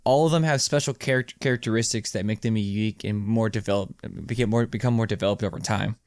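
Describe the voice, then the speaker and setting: A man's voice: fairly low pitch, fast talker, a little mellow, clean tone, dry recording, studio quality. A man co-hosting a podcast, talking casually about movies in an informal, rambling, explanatory way and repeating phrases for emphasis. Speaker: podcast co-host.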